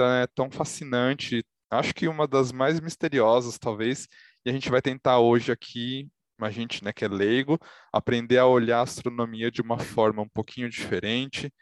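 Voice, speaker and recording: A man's voice: mid-range voice, no room sound. A man hosting a podcast, introducing guests and asking questions in a chatty, casual way with frequent fillers. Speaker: podcast host.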